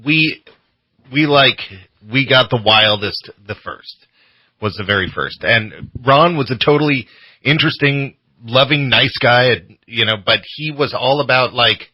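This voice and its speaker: A man chatting casually as a studio radio guest, halting and loose, with plenty of filler phrases. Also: medium pitch, bright, forceful tone, no echo, rough recording. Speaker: radio show host appearing as guest. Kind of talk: casual chat, interview answers. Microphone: studio microphone.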